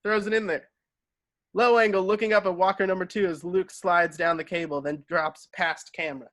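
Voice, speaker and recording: A man with a slightly high voice narrates a screenplay. He reads scene headings and action lines in a scripted table-read style. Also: fairly even intonation, normal speed, a bit bright. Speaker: narrator reading stage directions.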